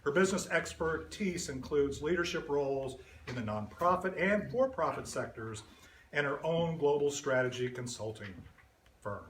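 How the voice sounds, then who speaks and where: A man's voice: a little high, animated, moderate pace, a little hiss or hum. A man introducing a speaker at a live event, informal and joking. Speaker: event emcee. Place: event room with audience. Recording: echoey room from a distance.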